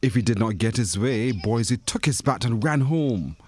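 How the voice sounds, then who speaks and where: A man's voice: mid-range voice, expressive, normal speed, a little mellow. A man reading a children's storybook expressively, as a narrator who acts out the characters. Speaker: story reader.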